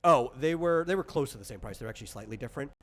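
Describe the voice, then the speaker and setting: A man's voice: mid-range voice, expressive, rapid-fire, a bit bright, a little hiss or hum. A man in the role of game master, casually explaining tabletop game rules in a rambling, conversational way with lots of asides.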